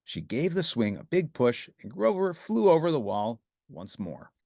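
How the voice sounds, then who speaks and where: An expressive storybook narrator reads aloud for children. The pitch moves up and down a great deal, and the recording is dry and close. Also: moderate pace, vocal fry, dry recording.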